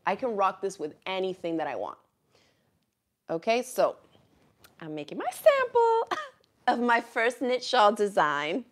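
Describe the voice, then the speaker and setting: A woman's voice: medium pitch, unhurried, pauses often. Bubbly miami woman in her 30s chatting live at a desk camera, laughs a lot, spanish is her first language, small craft room. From Miami, USA. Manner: chatty and animated, laughs often, reads viewer comments aloud. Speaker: craft channel host. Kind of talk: casual live chat. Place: small indoor craft room with quilts on the wall.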